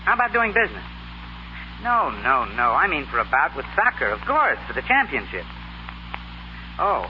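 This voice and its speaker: An old, dull radio recording with light hiss holds a well-spoken, genial gentleman. His high voice moves in lively swings, polite and brisk, in 1930s comedy style. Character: genial well-to-do gentleman, eager boxing backer. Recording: muffled, noisy 1930s radio broadcast recording.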